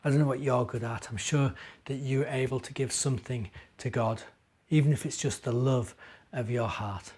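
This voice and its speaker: A middle-aged man, a minister, telling stories to young children and chatting to them directly with gentle questions. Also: medium pitch, normal speed, slightly rough. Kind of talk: children's storytelling and gentle chat.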